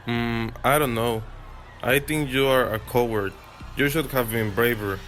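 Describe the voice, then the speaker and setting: A man's voice: medium pitch, unhurried, fairly bright tone, lightly acted. A man playing a brash, scornful schoolboy in an amateur radio drama.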